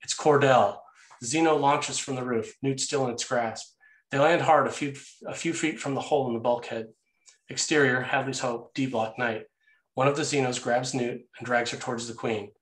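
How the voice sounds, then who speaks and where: A man's voice: mid-range voice, steady tone, normal speed. A man narrating screenplay action and scene headings as a scripted read. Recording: echoey room sound.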